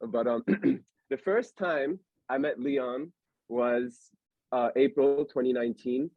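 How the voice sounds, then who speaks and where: A man's voice: mid-range voice, slow pace, dry recording, narrow, tinny audio. A young man from Pennsylvania hosting a podcast in a casual, rambling, slangy way with lots of fillers. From Allentown, Pennsylvania. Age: young adult. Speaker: podcast host. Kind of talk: casual chat.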